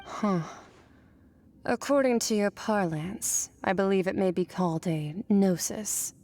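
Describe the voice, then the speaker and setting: A woman's voice: mid-range voice, unhurried, darkish tone, slight background noise, lightly acted. A woman voicing an aloof, otherworldly warrior in a video game, detached and matter-of-fact. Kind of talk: video game character acting.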